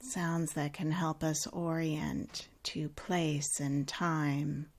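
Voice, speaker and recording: A woman leading a guided meditation in a calm, unhurried, gently instructive way with long pauses. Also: sits low.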